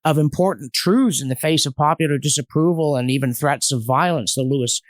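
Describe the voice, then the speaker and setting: A man's voice: on the high side, brisk, dry recording. A man speaking as a podcast guest in an intellectual discussion, with an erudite, emphatic, argumentative manner and strong opinions. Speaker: podcast guest, history commentator.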